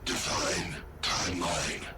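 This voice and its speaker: An actor playing an ancient, malevolent war-machine intelligence in a video game, with a synthetic booming voice and terse, imperious declarations. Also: male voice, fairly even intonation, slow pace. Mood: cold, mechanical. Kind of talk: scripted video game acting. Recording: loud music and rumble behind.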